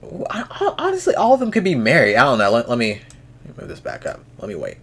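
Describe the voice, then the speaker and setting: A man's voice: mid-range voice, huge pitch swings, quick pace, vocal fry, a bit bright, slight background noise. A young man hosting a reaction video, talking casually and frankly, with lots of restarts and swearing. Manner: rambling, frank, swears freely, many restarts.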